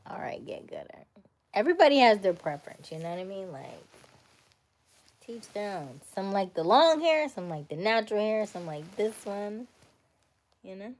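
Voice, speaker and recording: A woman hosting a casual live stream, chatting playfully with viewers, laughing often and dropping to a soft whisper as she reads comments. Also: medium pitch, sing-song, unhurried, pauses often. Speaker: livestream host.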